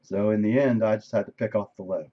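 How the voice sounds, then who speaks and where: A man reviewing his day's trades on a live stream, casual and thinking aloud, with a voice that is going hoarse. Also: lowish voice, fairly even intonation, fast, highs cut off. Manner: conversational, thinking aloud, frequent "right?". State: losing his voice, coughing. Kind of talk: casual screen-share trade recap. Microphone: desk microphone.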